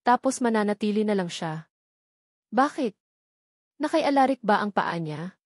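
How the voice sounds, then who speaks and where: A woman's voice: medium pitch, expressive, moderate pace, no echo, clean studio sound. A woman with a Filipino accent reading a first-person romance novel as scripted audiobook narration.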